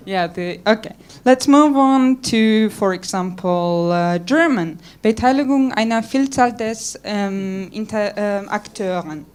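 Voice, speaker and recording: A woman's voice: mid-range voice, darkish tone, clear, resonant tone. A woman reading out notes to a conference panel, careful and amused as she works through foreign words. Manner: slow, careful, amused by pronunciations. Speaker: rapporteur.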